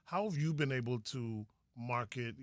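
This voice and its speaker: A man's voice: medium pitch, moderate pace, no echo, clean studio sound. A man hosting a podcast interview in an informal, slangy and encouraging way. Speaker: podcast host. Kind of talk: casual interview chat.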